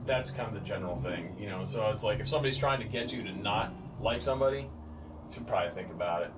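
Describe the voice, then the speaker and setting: A man from New York in a band chatting in an interview, friendly and quick to laugh. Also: fairly low pitch. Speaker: band musician. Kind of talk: casual interview chat. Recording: distant mic, loud noise behind.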